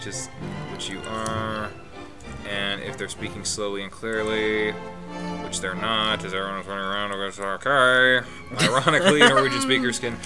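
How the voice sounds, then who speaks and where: A man's voice: fairly low pitch, steady tone, moderate pace, loud music behind the voice. A man hosting a let's play, reading the game text aloud with his own asides and chuckles.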